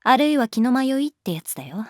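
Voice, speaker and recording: An actress playing a calm, guarded young schoolteacher in a Japanese audio drama, speaking softly and frankly. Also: female voice, a little high, no room sound, studio quality. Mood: guarded, dismissive. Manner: unhurried, soft-spoken, frank. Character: adult female homeroom teacher, calm, guarded, gently detached. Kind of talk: Japanese anime-style audio drama acting.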